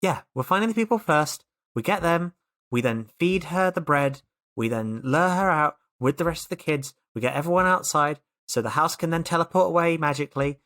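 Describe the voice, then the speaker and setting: A player talks through a tabletop role-playing game in casual chat. The voice sits at a middle pitch, and the delivery is emphatic, with words often repeated. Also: brisk, a bit bright.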